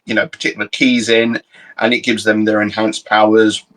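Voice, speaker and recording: A young man co-hosting a casual chat, explaining his picks in a measured, thoughtful way. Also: lowish voice, little pitch movement, a bit bright, poor audio. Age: young adult. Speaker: co-host.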